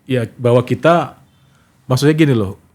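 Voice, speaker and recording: Indonesian guy being interviewed, medium pitch, casual chat, agrees a lot with little repeated yeses. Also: moderate pace, darkish tone. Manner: agreeable, repeats short affirmations. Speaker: interview guest, commentator.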